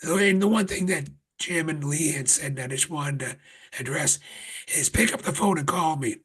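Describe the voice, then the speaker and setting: Raspy-voiced man chairing a town board. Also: on the high side, normal speed, a bit bright. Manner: earnest, rambling with restarts. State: voice getting raspy. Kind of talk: public meeting remarks. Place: town conference room.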